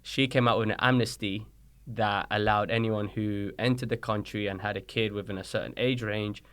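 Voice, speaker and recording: A man's voice: lowish voice, moderate pace, smooth. A man in his thirties from Colombia, raised in London, with a British accent, telling stories as an interview guest and acting out quoted dialogue. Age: 30s. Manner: animated storyteller, acts out quoted dialogue. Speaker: guest, soccer coach. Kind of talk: casual interview chat.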